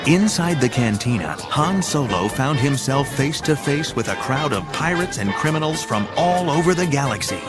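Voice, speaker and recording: A man's voice: medium pitch, expressive, moderate pace, few pauses. A man narrating an audio drama, reading a scripted story in a dramatic style. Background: music.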